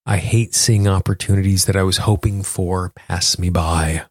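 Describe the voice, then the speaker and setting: Male self-help coach and host, lower register, conversational and instructive, dry recording. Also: steady tone, moderate pace, no room sound. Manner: explanatory, instructing the listener directly. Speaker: podcast host and tapping coach. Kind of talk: conversational self-help talk and guided tapping exercise.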